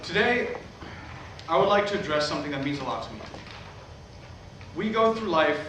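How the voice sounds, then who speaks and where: An earnest, world-weary preacher acted by a man in a staged play. His voice sits a little high and comes from far off in a very reverberant hall, with clear noise in the recording. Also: sing-song, moderate pace. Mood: earnest preaching. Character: earnest, weary male megachurch pastor. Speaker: actor in a staged reading. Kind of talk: staged play reading, sermon and dialogue. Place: theatre at a live staged reading.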